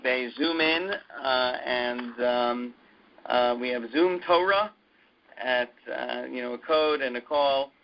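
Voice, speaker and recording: A man giving an update on a conference call in a halting, hesitant way with many filler pauses, as a university president addressing his community. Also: medium pitch, creaky, slight background noise. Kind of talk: conference call update, semi-scripted announcement. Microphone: conference phone call. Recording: dull muffled conference call audio.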